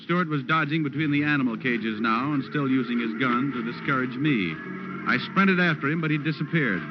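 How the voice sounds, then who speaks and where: An American actor playing a wry, hard-boiled private detective who narrates an old radio crime drama in slangy style. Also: male voice, mid-range voice, lightly acted. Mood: tense narration. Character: wisecracking hard-boiled male private detective, cool and sardonic. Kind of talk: 1940s-50s radio detective drama acting. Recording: old muffled radio recording with music.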